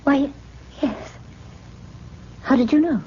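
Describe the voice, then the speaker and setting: A woman's voice: high-pitched, takes it slowly, a little mellow. An actress playing an uneasy, placating young woman in an old-time radio drama, emotive and hesitant on a muffled, noisy old recording. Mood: defensive.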